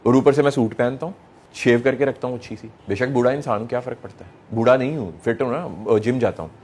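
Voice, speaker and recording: A middle-aged man with a Pakistani accent speaks as a motivational lecturer. His pitch sits in the middle of the male range. He drives points home with repetition and rhetorical questions, often slipping into English phrases. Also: fast talker, a little mellow, a little hiss or hum. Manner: mixes English phrases into speech. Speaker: motivational speaker addressing young people.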